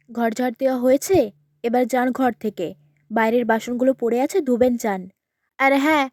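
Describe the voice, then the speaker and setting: A woman's voice: high-pitched, no room sound, clean studio sound, close to an everyday voice. A woman voicing a cruel elder daughter-in-law who orders and threatens. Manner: commanding, threatening, brisk.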